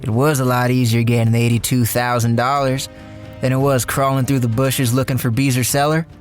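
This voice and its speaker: An actor playing a cocky, bossy small-time crook with a folksy, sardonic, slangy way of talking in a radio crime drama. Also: male voice, medium pitch, flat delivery, brisk, faint music behind, close to an everyday voice. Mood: wry, uneasy recounting. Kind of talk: radio crime drama acting, first-person narration.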